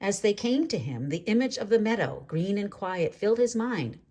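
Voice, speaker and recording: A woman reading a children's story aloud in an expressive, deliberate way with dramatic phrasing. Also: medium pitch. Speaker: storybook reader. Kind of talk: read-aloud children's story narration with asides.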